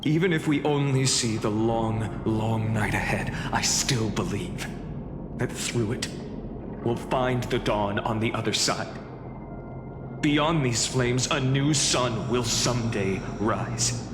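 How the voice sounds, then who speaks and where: Male actor resolute young game hero, earnest, solemn, measured delivery, echoing hall, music behind. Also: mid-range voice, lightly acted. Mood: solemn, hopeful. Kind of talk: dramatic game voice acting. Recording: echoey hall reverb with music.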